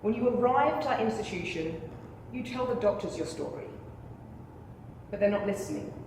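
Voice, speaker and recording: Woman playing deliberate trial lawyer, very echoey hall. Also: medium pitch, animated, creaky, darkish tone. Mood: solemn, persuasive. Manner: deliberate, rhetorical, persuasive. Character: impassioned female trial lawyer addressing a jury. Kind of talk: courtroom dramatization speech. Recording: echoey hall with faint noise.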